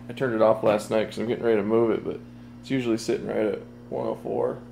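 A man casually showing off his hot tub in a garage, talking in a relaxed, rambling way with plenty of fillers, recorded on a handheld phone. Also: fairly low pitch, brisk, gravelly, slight background noise, low-quality recording. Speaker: product reviewer. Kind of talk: casual product walkthrough.

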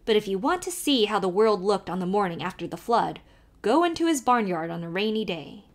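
A woman's voice: fairly high pitch, big pitch swings, unhurried, pitched above the performer's own voice. A woman voicing a jolly old male peddler with a thick brogue, sly and teasing, in a storybook reading.

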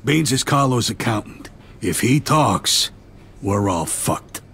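An actor playing a gruff, middle-aged American mobster in a video game, giving orders in a matter-of-fact way. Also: male voice, on the low side, expressive, slow pace, a bit bright, full character voice. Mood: grave, commanding. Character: middle-aged mob underboss, gruff and businesslike. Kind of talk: video game cutscene acting.

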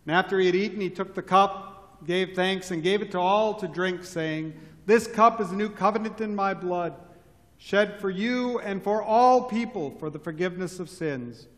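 A male pastor speaking in a measured, solemn way, reciting set prayers in a large church sanctuary. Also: sits high, fairly bright tone. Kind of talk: liturgical speech and chant. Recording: church with long reverb and organ.

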